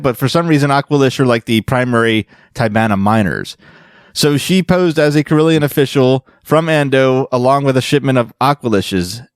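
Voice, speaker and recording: A man's voice: medium pitch, dry recording, clean studio sound. A man hosting a fan podcast, telling a story casually in a rambling way that often corrects itself. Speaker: podcast host.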